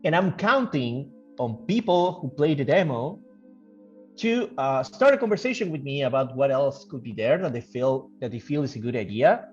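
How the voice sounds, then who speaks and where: A man who works as a game developer gives casual interview answers, thinking aloud haltingly and repeating phrases. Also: mid-range voice, lively intonation. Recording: dull lo-fi audio, music behind. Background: background music.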